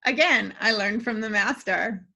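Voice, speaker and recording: A woman's voice: medium pitch, measured pace, creaky, sharp tone. A woman speaking as a podcast panelist, giving conversational advice to writers.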